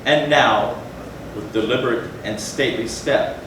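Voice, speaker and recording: A man's voice: mid-range voice, measured pace. Solemn male narrator in a very echoey hall. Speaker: narrator in readers theatre. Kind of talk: dramatic stage reading of gothic horror. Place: indoor theatre, recorded from a distance. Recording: very echoey hall, far mic, noisy.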